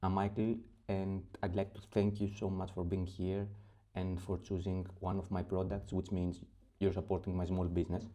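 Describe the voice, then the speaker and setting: A man with a Greek accent explains a budget spreadsheet one step at a time. His voice sits on the low side and sounds soft and dark. He stops often with small fillers as he finds his words. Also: monotone. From Greece. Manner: methodical step-by-step explaining with frequent pauses and fillers. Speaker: spreadsheet template creator. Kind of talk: screen-recorded software tutorial.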